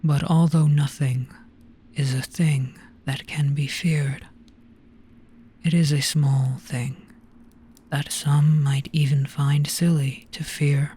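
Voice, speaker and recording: A performer voicing an ancient, gentle, weary monster in a hypnotic roleplay, speaking very slowly in a grave, breathy hush. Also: medium pitch, little pitch movement. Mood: solemn, gentle. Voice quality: breathy, whispery. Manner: very slow, hushed, grave.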